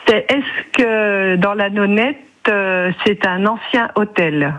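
A woman's voice: mid-range voice, slow pace, fairly bright tone. An older woman born in Italy, calling in to a radio show, laughing often and repeating herself. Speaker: caller. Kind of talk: phone-in chat.

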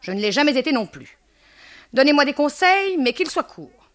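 A woman's voice: sits high, very animated, normal speed, a bit bright, pitched above the performer's own voice. A woman playing a vain, self-adoring young pregnant woman in a literary drama, declaiming between tender crooning and scorn. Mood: brisk, assertive.

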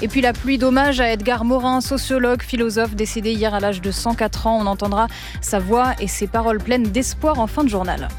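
A woman anchors a radio news bulletin, reading crisply and at a measured rate. Her voice is a little higher than most, and a faint bed of music sits under her. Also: fairly even intonation.

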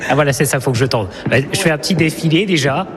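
A man's voice: a little high, expressive, fast, over a noisy crowd. A man reporting for local TV and interviewing a guest in a playful, self-mocking way.

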